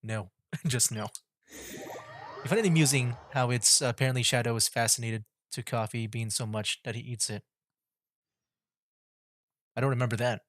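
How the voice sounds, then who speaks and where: A man's voice: mid-range voice, steady tone, normal speed, long pauses, a bit bright, no room sound. A man giving casual, rambling commentary on a game livestream, often repeating himself as he chats. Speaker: livestreaming VTuber gamer.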